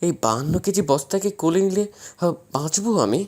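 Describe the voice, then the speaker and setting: A man's voice: fairly high pitch, lively intonation, fast talker, a little mellow. A man playing a tired, bemused new husband in a romantic audio story, hesitant and indulgent as he mildly protests. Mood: mock protest.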